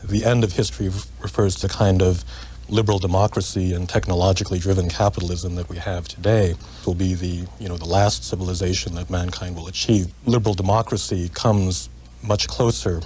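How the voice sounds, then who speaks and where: Deep, flat-voiced man explaining haltingly. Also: a little hiss or hum. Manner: halting, with many fillers. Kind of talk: explanatory interview remark.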